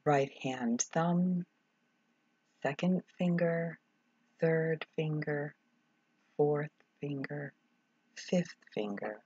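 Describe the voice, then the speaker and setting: A woman leading a guided meditation as a relaxation instructor, calm and soothing, in short measured phrases with long pauses and a husky, breathy voice. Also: low-pitched. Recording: dull, roomy, echoing sound.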